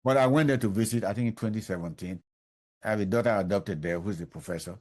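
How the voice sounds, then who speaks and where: A man's voice: fairly low pitch, fast talker, darkish tone. An older man answering interview questions, emphatic and repeating his key points. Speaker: professor, interview guest.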